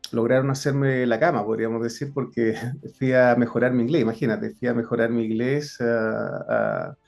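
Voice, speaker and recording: A man's voice: medium pitch, vocal fry, darkish tone. A middle-aged man with a Chilean Spanish accent, a former police investigator telling his story as an interview guest, earnest and full of hesitations and restarts. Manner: frequent hesitations and self-corrections, earnest. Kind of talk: interview, personal account.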